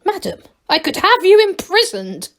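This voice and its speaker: A woman's voice: extremely high pitch, sing-song, normal speed, fairly bright tone, pitched above the performer's own voice, full character voice. A woman voicing a pompous male gnome duke in a storybook, formal and self-important. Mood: blustering, indignant.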